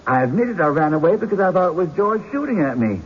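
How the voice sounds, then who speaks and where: An actor playing an anxious, defensive frontier husband in an old-time radio drama, nervous and pleading. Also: male voice, high-pitched, sing-song, fast. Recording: dull, lightly noisy radio recording.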